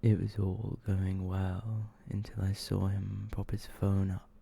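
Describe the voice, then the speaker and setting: A man speaks in a hushed, low-pitched ASMR murmur that often drops to a whisper. His delivery is slow and almost completely flat, as he reads close to the mic. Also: creaky, a little hiss or hum. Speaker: ASMR video host reading short horror stories.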